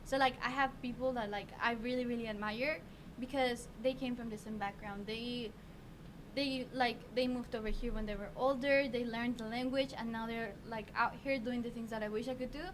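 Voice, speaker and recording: A woman's voice: on the high side, steady tone, normal speed. A teenage woman with a Mexican accent whose first language is Spanish, a podcast guest speaking earnestly in casual discussion and often hunting for words. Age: teens. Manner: earnest, searching for words with many fillers. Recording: noisy background.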